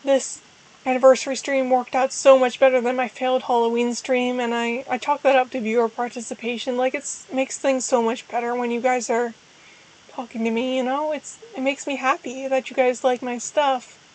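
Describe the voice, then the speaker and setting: A young woman talks in a high voice gone hoarse and tired. She rambles through a casual livestream chat, restarting her sentences and running herself down a little. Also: little pitch movement, moderate pace, darkish tone, low-quality recording. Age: young adult. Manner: rambling, self-deprecating, frequent restarts. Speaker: VTuber streamer.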